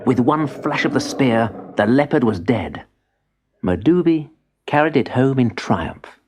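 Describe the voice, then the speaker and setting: A man's voice: mid-range voice, animated, unhurried, a little mellow, husky. A man narrating a children's story expressively, on an old cassette transfer. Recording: dull cassette transfer with music behind. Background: instrumental music.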